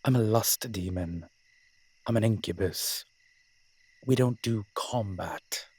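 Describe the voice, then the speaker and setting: A man acting as a wry, flirtatious incubus demon in a roleplay audio drama, teasing and sardonic, with a husky, breathy voice. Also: fairly low pitch, slow, darkish tone. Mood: nervous, candid.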